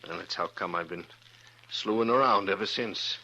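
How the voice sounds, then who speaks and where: Deep-voiced lonesome Western drifter. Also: male voice, normal speed, pushed and bright, dull audio. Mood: heavy, confiding. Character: lonesome weary drifter of the Old West, confiding. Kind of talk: 1950s radio western acting. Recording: noisy old radio recording.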